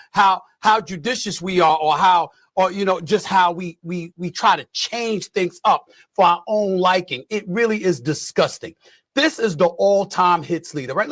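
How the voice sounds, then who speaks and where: A man's voice: high-pitched, fairly bright tone, no echo. A man delivering an impassioned, indignant sports rant, hammering points with repetition and rhetorical questions. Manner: indignant, emphatic, rhetorical questions, repeats phrases.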